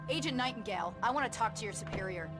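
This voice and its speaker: An actress playing a firm, level-headed small-town sheriff with a no-nonsense, authoritative manner in a game. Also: female voice, medium pitch, animated, quick pace, lightly acted. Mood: stern. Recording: clear music behind voice.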